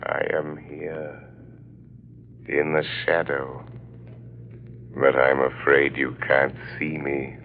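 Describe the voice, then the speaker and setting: An actor playing an invisible, menacing crime-fighting avenger in old radio drama, speaking slowly and ominously. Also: male voice, rumbling low, little pitch movement, full character voice. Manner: slow, ominous delivery. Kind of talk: 1940s radio acting. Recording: muffled old radio recording, faint hum.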